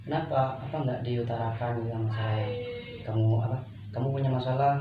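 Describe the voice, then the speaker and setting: A young man acting as a counsellor in conversation, asking and encouraging in a halting way, searching for words. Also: medium pitch, fairly even intonation. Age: young adult. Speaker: counsellor in a practice session. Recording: echoey room, distant mic, muffled, noisy.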